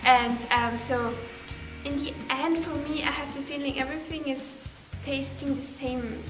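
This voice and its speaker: A woman's voice: sits high, fairly even intonation, unhurried. A teenage girl from Belarus, a native Russian speaker, answering interview questions in English with many fillers and restarts. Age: teens. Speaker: exchange student. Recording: echoey room with music behind.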